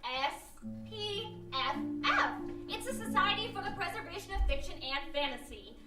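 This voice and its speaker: Casting: actress as bossy fantasy-club leader, very high and animated, echoey live stage. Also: female voice, normal speed. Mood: proud, assured. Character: zealous, bossy fantasy society co-chair, self-important woman. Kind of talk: live comedic radio-play acting. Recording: echoey stage, distant mic, loud music.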